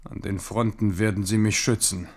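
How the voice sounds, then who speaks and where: A man's voice: fairly low pitch, fairly even intonation, moderate pace, lightly acted. An actor playing a proud, careworn French king in a German radio play, declamatory, commanding and ironic. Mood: defiant, resolute.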